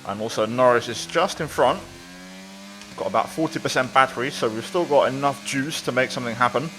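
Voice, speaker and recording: A man's voice: mid-range voice, brisk, noisy background. Man commentating casually over his video game. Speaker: gaming video creator.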